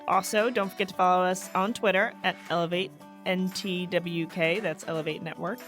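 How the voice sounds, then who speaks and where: A woman voiceover artist reads a scripted podcast outro in a friendly, polished way. Her voice sits a little low. Soft music plays faintly underneath. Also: steady tone, normal speed. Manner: friendly, polished promo read. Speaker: voiceover announcer.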